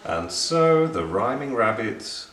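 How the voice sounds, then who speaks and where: Slow, expressive man reading a children's story. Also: medium pitch. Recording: roomy, distant, faint background noise.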